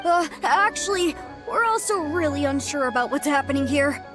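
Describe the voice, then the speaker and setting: An eager young yokai girl from a video game, polite and a little hesitant. Her voice sits high, with clear game music behind it. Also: expressive, normal speed. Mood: nervous. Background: game soundtrack music.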